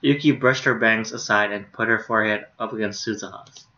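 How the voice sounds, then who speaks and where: A man's voice: on the low side, fairly even intonation, fairly quick, sharp tone, lo-fi sound. A young man streaming, casually reading a visual novel aloud with offhand asides.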